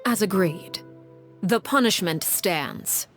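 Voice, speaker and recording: A woman acting a cold, commanding leader in a video game, speaking in a measured, composed, menacingly formal manner. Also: medium pitch, lively intonation, fairly bright tone, faint music behind. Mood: calm, menacing. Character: cold, commanding woman, stern leader called Father by her children.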